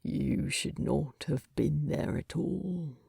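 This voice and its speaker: Wounded dwarf king played by a woman, storybook fantasy: lowered, breathy rumble, gruff and fond. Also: slow pace, warm tone, no room sound, studio quality, exaggerated voice. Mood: weary, chiding. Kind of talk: fan fiction audiobook character voice.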